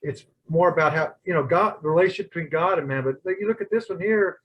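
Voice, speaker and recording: A man leading a church Bible study discussion, talking in a halting way with many restarts. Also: fairly high pitch, lo-fi sound, slight background noise. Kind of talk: casual group discussion.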